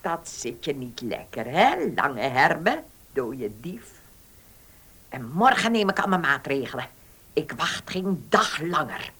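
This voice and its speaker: Radio play: domineering old aunt, lowish, very animated, scornful, commanding. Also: female voice, slow pace, background noise, full character voice. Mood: scornful, menacing. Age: older. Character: bitter, domineering old aunt guarding her money. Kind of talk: Dutch radio play acting.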